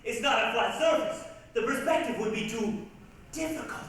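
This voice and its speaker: A man playing a passionate, stubborn sculptor in a stage reading, earnest and halting as he protests. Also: extremely high pitch, a bit bright. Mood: dismayed protest. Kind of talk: theatrical readers' theatre dialogue. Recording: echoey hall, distant mic, noisy old tape.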